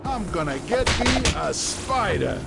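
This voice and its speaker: Brash, swooping game thug. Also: male voice, sits high, very animated, measured pace, gravelly, very noisy, exaggerated voice. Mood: aggressive, taunting. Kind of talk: video game voice acting. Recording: game audio under loud music and thuds.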